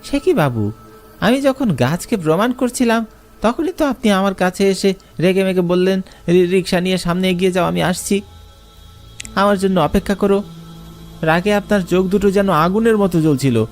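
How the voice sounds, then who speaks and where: A humble village rickshaw puller, polite and a little fearful, in a high, light register. He speaks softly and deferentially, with music playing underneath. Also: moderate pace, pauses often. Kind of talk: animated horror story voice acting.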